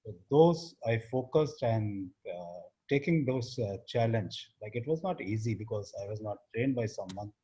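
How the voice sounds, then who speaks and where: A man in his fifties from northern India with an Indian English accent, speaking as an interview guest in a halting way, often trailing off quietly. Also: fairly low pitch, animated, slight background noise, low-quality recording. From India (Uttar Pradesh). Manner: halting, frequent fillers and restarts, trails off quietly. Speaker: interview guest, business founder. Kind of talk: casual interview talk.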